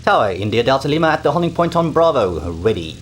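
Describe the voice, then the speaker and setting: A man playing a pilot, reading back clearances in scripted radio-call practice. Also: mid-range voice, sing-song, fast, slight background noise, close to an everyday voice. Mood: procedural. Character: male pilot calling the tower.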